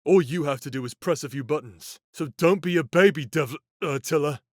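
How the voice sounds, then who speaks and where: Curt, higher-voiced impatient fighter played by a man. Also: normal speed, no echo, clean studio sound, natural voice. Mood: exasperated. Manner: curt, exasperated, sardonic. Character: gruff, impatient, sarcastic male sci-fi fighter. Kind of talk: anime-style audio roleplay acting.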